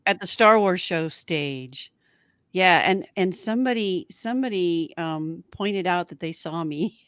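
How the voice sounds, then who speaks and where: A woman co-hosting a casual fan livestream, conversational and haltingly thinking aloud. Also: lowish voice, clean tone, no echo, studio quality. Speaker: co-host of a fan show.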